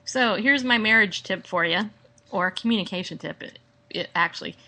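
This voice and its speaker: A woman with a bright, forward voice at an average pitch hosts a relaxed solo podcast. She rambles playfully and sounds animated, chatting informally as if to friends. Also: normal speed. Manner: playful, rambling, acts out mock exchanges. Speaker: podcast host. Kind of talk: casual solo chat. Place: at home, indoors.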